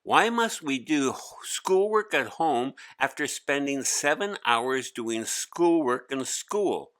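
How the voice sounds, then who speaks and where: Man playing a high-pitched, whiny young schoolboy. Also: lively intonation, slow, fairly bright tone. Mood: grumbling. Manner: whiny, complaining. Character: young schoolboy, grumbling, excuse-making, burdened by homework. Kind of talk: storybook character voice.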